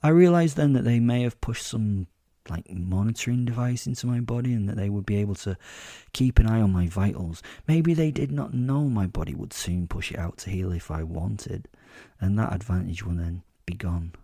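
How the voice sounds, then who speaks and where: A man's voice: lowish voice, normal speed, dark tone, no echo, clean studio sound. A man playing a sly, sadistic, self-assured captive werewolf who tells his story in a confiding, gloating way for a horror comedy audio drama. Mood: calculating, smug.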